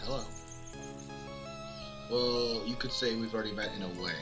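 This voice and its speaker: A man's voice: fairly low pitch, fairly even intonation, normal speed. A young man playing a game with friends, chatting casually and reading lines aloud. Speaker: player in a group let's play. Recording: clear music behind the voice.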